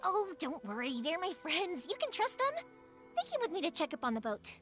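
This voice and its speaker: A kind-hearted young fireworks maker, with a high, girlish voice. She is earnest and cheerful, and her pitch moves around in an animated video game style. Music plays softly behind her. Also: moderate pace, full character voice. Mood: reassuring. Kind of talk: video game character acting.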